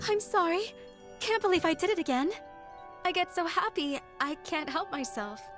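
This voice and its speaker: An actress playing an excitable, gushing young female doctor, warm and eager, in a video game cutscene. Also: extremely high pitch, a little slow, over music. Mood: gushing, friendly. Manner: gushing, effusive, apologetic. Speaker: physician.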